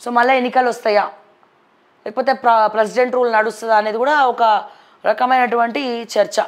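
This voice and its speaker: Woman, high-pitched voice, Telangana accent, news presenter, conversational political commentary, blunt questioning delivery. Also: steady tone, fairly quick. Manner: blunt, questioning, addresses people directly.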